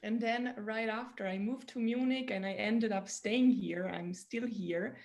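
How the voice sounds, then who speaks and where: A woman's voice: fairly high pitch, measured pace. Italian-accented woman lawyer on a video call. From Italy. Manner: warm, enthusiastic alumni talk. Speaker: patent litigation lawyer.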